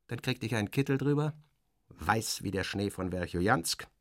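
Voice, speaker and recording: Man, lowish pitch, dramatic audiobook narration, expressive, theatrical delivery, very dry sound. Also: moderate pace, no echo. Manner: expressive, theatrical, acts out the dialogue. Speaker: narrator reading literary satire.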